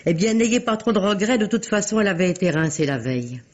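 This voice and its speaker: Une actrice qui joue, dans une dramatique policière radiophonique, une bonne veuve et âgée, revêche, sarcastique et brusque. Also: female voice, mid-range voice, animated, fast talker, close to an everyday voice. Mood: sarcastic. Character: sharp-tongued, grumbling older widowed maid. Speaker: actress in a radio mystery play. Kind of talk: French radio mystery drama acting, 1950s manner. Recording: dull vintage radio recording.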